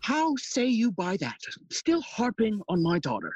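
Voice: mid-range voice, huge pitch swings, measured pace, husky, no echo, highs cut off, heavily acted. An actor playing a fussy, long-winded old male counsellor in an audio play, theatrical and forever interrupting their own speech. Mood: puzzled, scheming. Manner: rambling, theatrical, self-interrupting. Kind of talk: Shakespearean stage acting in audio play.

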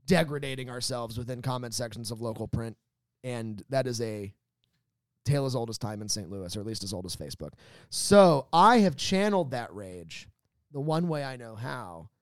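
A man's voice: medium pitch, no echo, studio quality. A man hosting a podcast in casual banter, rambling, jokey and a bit profane. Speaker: podcast host. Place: recorded at a theater company's space.

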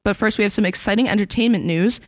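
Fast-talking young woman host. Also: mid-range voice, no echo. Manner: upbeat, quick interviewer. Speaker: student podcast host. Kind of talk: casual chat.

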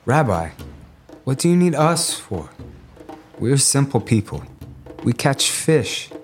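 A man's voice: medium pitch, very animated, slow, soft background music. A man playing a devoted, plain-spoken apostle and younger brother in a Bible audio drama. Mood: respectful.

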